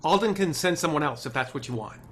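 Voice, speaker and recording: An actor playing an earnest, driven male TV writer, speaking with deliberate emphasis in a screenplay table read. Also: a little high, lively intonation, a bit bright, a little hiss or hum.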